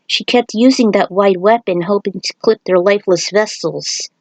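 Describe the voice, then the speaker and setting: A woman's voice: mid-range voice, normal speed, no room sound. Woman narrating a story, very dry sound. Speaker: narrator of own fan fiction.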